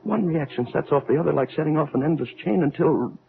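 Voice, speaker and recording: An older male actor playing a remorseful, weary atomic scientist in an old-time radio drama, speaking in a solemn, halting, oratorical way. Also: fairly high pitch, expressive, gravelly. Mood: horrified despair. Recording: tinny, band-limited old radio recording.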